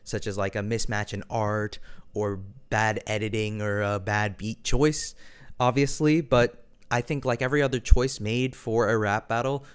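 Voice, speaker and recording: Smooth-voiced man giving careful opinions. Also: on the low side, steady tone. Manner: measured, hedging with frequent personal qualifiers. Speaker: online video commentator. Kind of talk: opinion monologue.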